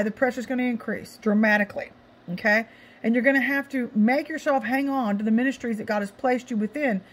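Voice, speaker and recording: Middle-aged woman, ministry host. Raspy, slightly high voice, emphatic and informal, hammering phrases by repetition. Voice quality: scratchy. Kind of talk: informal devotional exhortation.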